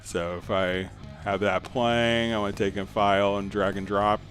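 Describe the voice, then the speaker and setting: A man hosting a casual live music software tutorial, answering viewer questions in a halting, thinking-aloud way. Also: lowish voice, flat delivery.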